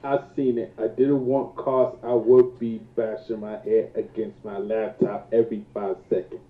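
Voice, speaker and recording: A man's voice: lowish voice, level tone, moderate pace. A man appearing as a guest commentator, chatting casually and reading out comments. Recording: thin phone-like echoey voice-chat audio.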